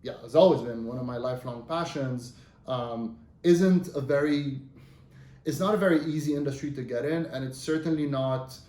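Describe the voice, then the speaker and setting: A man's voice: medium pitch, moderate pace, warm tone. A man in his thirties, from Lebanon with Arabic as his first language, telling his career story as an interview guest in a loose, conversational way with many fillers and rephrasings. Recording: roomy echo.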